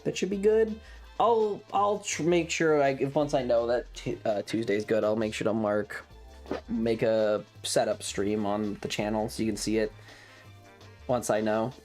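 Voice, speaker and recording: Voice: medium pitch, steady tone, a little slow. Rambling young adult streamer over music. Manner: rambling, hesitant, repeats phrases. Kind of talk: casual livestream chat.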